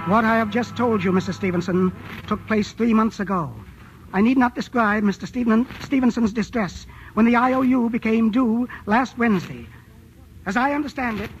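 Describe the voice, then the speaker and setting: Timid aging chemist, high-pitched voice, old radio. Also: male voice. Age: older. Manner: formal, precise, methodical phrasing. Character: timid, fearful aging male chemist confessing crimes. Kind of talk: 1940s radio drama acting. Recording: muffled, very noisy old radio recording.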